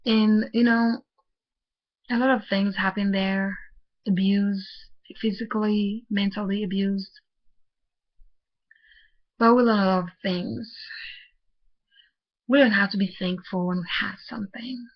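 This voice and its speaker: Young woman from Haiti, vlogger, flat hesitant delivery full of fillers, muffled lo-fi sound. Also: medium pitch. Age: young adult. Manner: soft-spoken, halting, many fillers and restarts. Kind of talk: personal storytelling vlog. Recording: muffled lo-fi audio.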